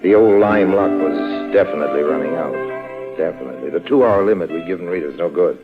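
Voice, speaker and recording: Wry gentleman rogue, low and urbane, unhurried, muffled old radio serial sound. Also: lively intonation. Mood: wry narration. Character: suave, wry male con-artist adventurer. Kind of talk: old-time radio drama acting and narration. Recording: muffled vintage radio recording, faint music.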